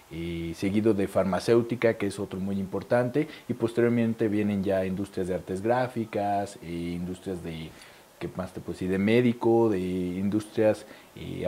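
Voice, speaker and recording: A man's voice: fairly low pitch, warm tone. A man in his thirties with a Mexican Spanish accent, an event organizer talking fluently and promotionally in a studio interview, with a lapel mic. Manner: fluent promotional explaining with fillers.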